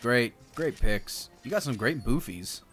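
A man co-hosts a game stream with casual, playful banter. His pitch is mid-range and jumps around in animated bursts and exclamations. Light game music plays behind him. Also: unhurried. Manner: playful, exclaiming, uses silly nicknames. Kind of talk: casual gameplay banter and commentary.

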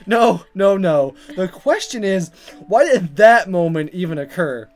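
A woman's voice: on the low side, expressive, unhurried, a little mellow, soft background music. A woman hosting a let's play, reading dialogue aloud dramatically and commenting in a playful, exaggerated way. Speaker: gaming video host.

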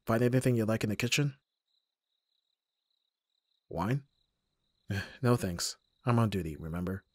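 A man playing an abrasive young bodyguard who is secretly soft, in an ASMR roleplay audio drama, with gruff, protective exasperation. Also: lowish voice, lively intonation, unhurried. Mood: dry, exasperated.

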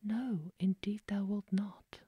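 A woman voices a kindly young prince. He speaks in a slow, breathy whisper with a dark, mellow tone. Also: mid-range voice, steady tone, dry recording. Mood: joyful.

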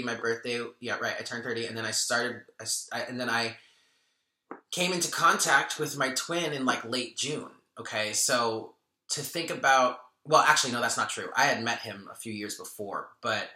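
A chatty man in his thirties talks straight to the camera from a small room at home, with a very bright, forward tone. He laughs often, trails off and restarts his sentences. The room sounds echoey around him. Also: medium pitch, moderate pace. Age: 30s. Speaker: spiritual channel host. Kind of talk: casual vlog talk to camera.

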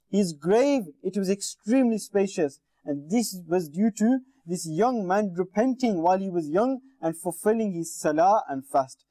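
A man in his thirties preaches earnestly to the camera, his voice sitting fairly high yet sounding mellow and warm. He urges his listeners directly, seated at a studio desk with a lapel mic. The sound is dry and close, with no room echo. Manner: earnest exhortation, addresses viewers directly. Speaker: Islamic preacher. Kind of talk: sermon to camera. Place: indoor TV studio with painted backdrop.

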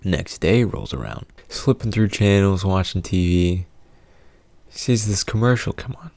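Young guy doing comedy storytime, low voice w lots of fry, dry close mic. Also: animated, measured pace, a little mellow, no echo. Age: young adult. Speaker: video host, storyteller. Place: small indoor home studio. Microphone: close studio condenser mic with pop filter.

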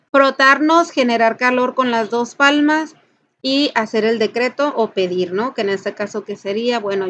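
A woman with a Mexican accent giving an informal spoken tutorial, instructing the listener directly and with emphasis. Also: fairly high pitch. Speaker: folk ritual practitioner and channel host. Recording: dull, lo-fi voice recording.

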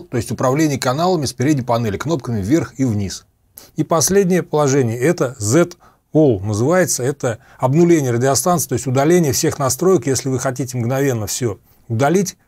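A man's voice: medium pitch, darkish tone, dry recording. Methodical middle-aged man explaining gear, dry sound. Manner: methodical, repeats and clarifies terms. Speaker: host reviewing radio equipment. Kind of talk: explanatory product demonstration. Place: small room at a computer desk, indoors.

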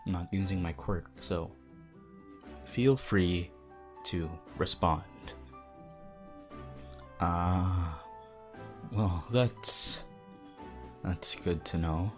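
A man voicing a shy, guarded teenage anime hero student in ASMR roleplay, soft and hesitant. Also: sits low, very slow, long pauses, faint music behind. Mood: awkward, bashful.

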